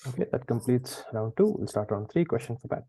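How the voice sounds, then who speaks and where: A man's voice: mid-range voice, animated, brisk, dark tone, husky, no room sound. A man hosting a quiz, reading questions and chatting conversationally with the players. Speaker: quiz host.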